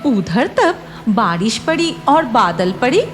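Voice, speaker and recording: A woman's voice: a little high, very animated, slow pace, over music. A woman reading scripted cartoon story narration as the storyteller.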